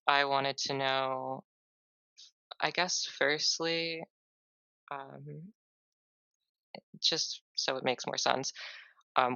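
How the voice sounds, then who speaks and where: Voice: mid-range voice, gravelly, sharp tone, clear, resonant tone, no room sound, muffled recording. An interviewer asking questions hesitantly with many fillers.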